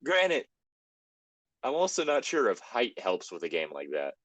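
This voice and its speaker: A man's voice: mid-range voice, wildly swooping pitch, a little slow, a bit bright, no echo. A man chatting casually as a co-host, teasing and swearing lightly as he comments. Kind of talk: casual banter.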